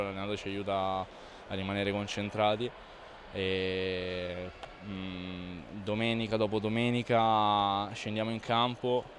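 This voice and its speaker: A young man, a volleyball player, answering post-match questions in a relaxed way, often repeating and correcting himself, into a handheld mic held close in a sports hall. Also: lowish voice, a little hiss or hum. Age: young adult. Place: indoor sports hall in front of a sponsor wall. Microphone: reporter's handheld mic held close.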